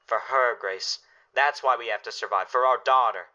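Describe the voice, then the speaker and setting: A man acting a stern father, sharp and forceful. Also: mid-range voice, normal speed, lo-fi sound. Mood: grave, pleading. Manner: emphatic, grave speechmaking. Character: stern, anguished father guarding his family's shelter. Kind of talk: dramatic radio play acting.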